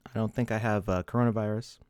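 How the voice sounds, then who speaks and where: A man's voice: fairly low pitch, steady tone, fast talker, darkish tone, smooth, no room sound. A man in his thirties hosting a casual livestream, rambling, laughing often and a little sniffly. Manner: rambling, laughs often, restarts, reads chat. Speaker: livestream host.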